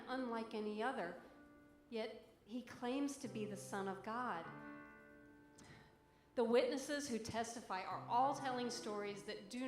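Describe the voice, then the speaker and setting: A woman's voice: a little high, close to an everyday voice. A woman playing an anxious council member from biblical times, giving an earnest, reflective dramatic monologue. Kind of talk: dramatic monologue reading in church. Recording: echoey church, music behind.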